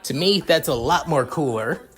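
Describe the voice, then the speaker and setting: A brash young girl in a story reading, voiced by the narrator. The delivery is blunt and scolding yet protective, at a middling pitch with music behind. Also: lively intonation, moderate pace, fairly bright tone, lightly acted. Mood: blunt encouragement.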